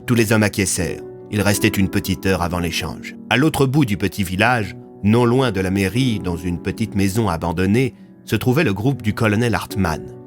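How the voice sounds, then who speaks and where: Male narrator for serial audio fiction, dramatic scripted read, low pitch, soft music bed. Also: normal speed.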